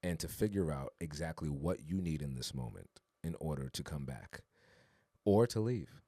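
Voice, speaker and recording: Very deep-voiced earnest male podcast host. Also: lively intonation, normal speed, dark tone, no room sound, studio quality. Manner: earnest and reflective, rising to emphatic urging. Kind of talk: casual podcast conversation.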